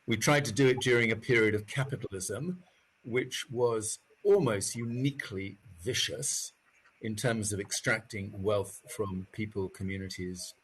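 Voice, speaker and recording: Male panellist, lowish and husky, articulate, reflective, stressing key words. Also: a bit bright, rough recording.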